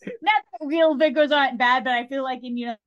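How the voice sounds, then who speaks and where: A woman's voice: high-pitched, a little fast, muffled recording. A woman on a podcast panel, chatting casually with a wry, joking manner.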